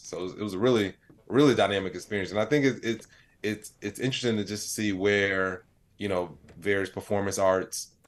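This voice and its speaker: Low-pitched man telling stories casually. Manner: rambling, peppered with "like" and "you know". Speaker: interview guest, comedian and AI storyteller. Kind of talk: casual conversational storytelling.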